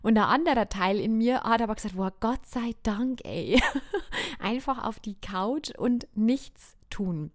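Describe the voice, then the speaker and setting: A woman's voice: on the high side, lively intonation, measured pace, dry recording, studio quality. A woman hosting her own podcast as an astrologer, talking candidly and laughing often, a little stuffed up from a cold. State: slightly stuffed-up nose from a cold. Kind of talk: casual solo podcast talk.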